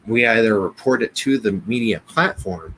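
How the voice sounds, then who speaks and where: A man with an American accent in a casual business discussion, speaking haltingly, often repeating words and restarting. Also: on the low side, fairly bright tone, low-quality recording. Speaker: business podcast co-host. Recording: noticeable background noise.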